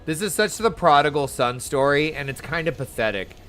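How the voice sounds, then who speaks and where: A man's voice: fairly high pitch, expressive, a little fast, fairly bright tone. A man chatting casually while live streaming a game, giving loose commentary as he plays. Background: game music.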